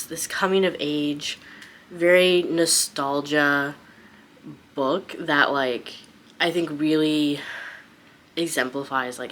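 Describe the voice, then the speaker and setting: A woman's voice: deep voice, a bit bright, slight background noise. A young adult woman giving a casual, unscripted book vlog, rambling informally and often saying "like". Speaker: book vlogger.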